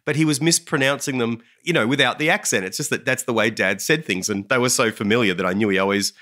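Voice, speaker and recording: A man's voice: mid-range voice, fast talker, pushed and bright. A man co-hosting a podcast, talking in a thoughtful, earnest casual chat. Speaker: podcast co-host.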